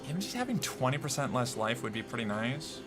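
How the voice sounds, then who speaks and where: A man streaming a video game, reacting out loud, cursing, and talking to himself as he plays. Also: medium pitch, very animated, brisk. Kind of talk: gameplay commentary. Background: game music.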